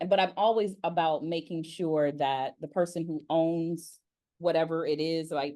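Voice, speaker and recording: Low-voiced woman, effusive interviewer. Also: a little mellow. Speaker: host, interviewer and acting coach. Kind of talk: casual interview chat.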